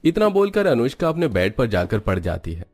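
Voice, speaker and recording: A man's voice: medium pitch, big pitch swings, fairly quick, darkish tone, soft background music. A man narrating a scripted cartoon family story as its storyteller.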